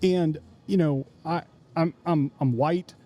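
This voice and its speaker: Fifty-ish guy hosting a podcast, mellow warm voice, kinda high, trips over his words a lot. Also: steady tone. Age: 50s. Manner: self-deprecating, halting with restarts. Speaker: podcast host. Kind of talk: casual interview chat. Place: coffee shop.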